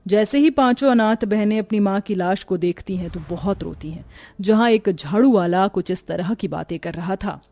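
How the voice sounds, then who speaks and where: A woman's voice: mid-range voice, normal speed, mellow, dry recording. A woman giving scripted narration for a cartoon story.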